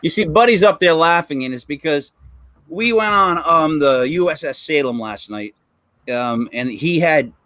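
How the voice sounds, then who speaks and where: A man's voice: a little high, animated, moderate pace, bright, forceful tone, dry recording. A man co-hosting a podcast, chatting casually and telling stories with lots of fillers. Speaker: podcast co-host. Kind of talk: casual chat.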